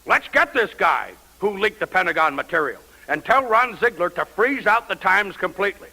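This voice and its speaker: A man's voice: high-pitched, sing-song, normal speed, creaky, bright, forceful tone, heavily acted, poor audio. An actor playing an angry male president who swears and blusters over lunch, in a radio drama. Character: profane, angry male president in the Oval Office. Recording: radio play recording.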